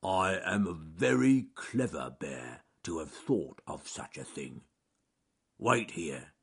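A man voicing a big, strong, clever male bear who speaks slowly and carefully. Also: sits low, expressive, vocal fry, slightly rough, voice pushed down, heavily acted. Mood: deliberate. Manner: slow and careful speech.

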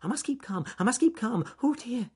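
A little fluffy rabbit from a storybook. The voice is pushed up high and swoops about excitably. He is timid and kind-hearted, fretting and repeating himself. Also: fairly quick, breathy edge, exaggerated voice. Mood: panicked.

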